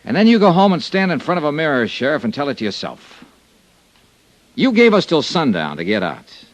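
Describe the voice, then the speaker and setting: An American actor playing a tough, sardonic insurance investigator in a clipped 1950s radio drama style. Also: male voice, fairly high pitch, very animated, brisk, no room sound, poor audio. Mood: forceful, stern. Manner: clipped, hard-boiled delivery. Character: tough, sardonic male insurance investigator narrating his case. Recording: vintage radio drama recording.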